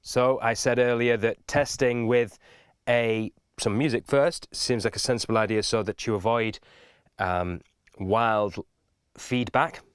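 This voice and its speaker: A man's voice: mid-range voice, fairly even intonation, measured pace, smooth, dry recording, clean studio sound. A man giving an informal step-by-step video tutorial in a methodical, instructive manner.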